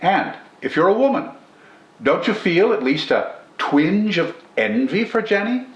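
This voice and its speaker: An older man reading a scripted literary commentary and reciting verse as host, in a measured, formal and expressive manner with deliberate phrasing. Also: high voice. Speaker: poetry channel host and reader. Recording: roomy indoor echo.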